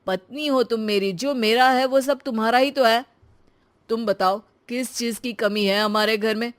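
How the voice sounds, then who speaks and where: In a cartoon story, a brash young husband who loves to show off throws his money around and talks big, loud and pleased with himself, as a woman voices him. The voice sits fairly high for a man. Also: steady tone, moderate pace, lightly acted. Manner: boastful, brash. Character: showy spendthrift young husband, boastful. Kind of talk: cartoon dubbing.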